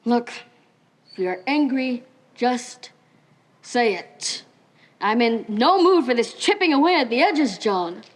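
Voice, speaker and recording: A woman's voice: on the high side, lively intonation, slow pace, long pauses. A teenage female student acting on stage as a stern, proper Victorian male doctor. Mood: impatient. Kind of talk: high school stage play acting.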